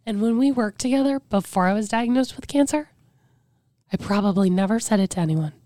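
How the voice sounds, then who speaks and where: A woman's voice: medium pitch, fairly quick, dry recording. A woman guest on a podcast talking candidly and earnestly about her life, her weakened voice sometimes slipping into a whisper. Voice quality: weakened voice that cannot get loud. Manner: earnest, reflective, with many "like" fillers. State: voice drops to a whisper at times. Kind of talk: candid personal storytelling.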